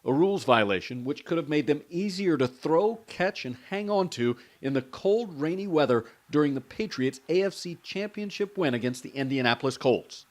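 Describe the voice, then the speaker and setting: A man's voice: on the high side, lively intonation, moderate pace, few pauses. An American man narrating a scripted television news package as a correspondent.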